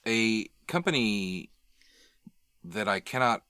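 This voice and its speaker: A man's voice: lowish voice, lively intonation, takes it slowly, pushed and bright, clean tone, dry recording. A man co-hosting a podcast in casual, bantering conversation. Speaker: podcast co-host.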